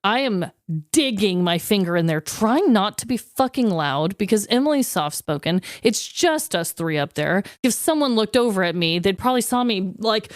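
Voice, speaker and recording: A woman's voice: mid-range voice, lively intonation, moderate pace, dry recording, studio quality. A woman co-hosting a podcast in casual comedic chat, telling stories in a self-deprecating way and swearing freely. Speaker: podcast co-host.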